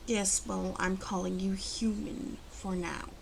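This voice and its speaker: A woman playing a protective, commanding female werewolf in an ASMR roleplay, talking slowly and deliberately to the listener in a soft, guarded way. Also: mid-range voice, little pitch movement, gravelly, a little hiss or hum. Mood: calm, conceding.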